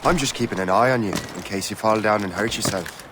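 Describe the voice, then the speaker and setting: An actor playing a cocky, playful young Irish assassin in a video game, bantering breezily in an Irish accent. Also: male voice, lowish voice, fairly quick, close to an everyday voice. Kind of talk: video game cutscene acting. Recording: loud action noise behind.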